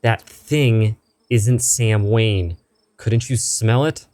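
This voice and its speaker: A man's voice: on the low side, steady tone, very slow, a little mellow, dry recording, voice pushed up. A man voicing a scared, impatient young woman who snaps and pleads, reading game dialogue aloud. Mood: shaken. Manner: urgent, pleading, snapping. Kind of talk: visual novel character read-aloud.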